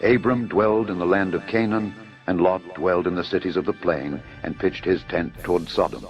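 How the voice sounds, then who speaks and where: A man giving a dramatised scripture narration in a solemn, measured storytelling manner. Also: low-pitched, fairly even intonation. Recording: dull lo-fi audio under music. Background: background music.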